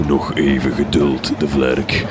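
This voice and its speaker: A man's voice: extremely low pitch, level tone, slow, a loud crowd, very noisy. An actor playing a pompous, secretive villainous father in a gothic horror comedy radio drama, declaiming theatrically and grandly. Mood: grandiose. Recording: loud music under the voice.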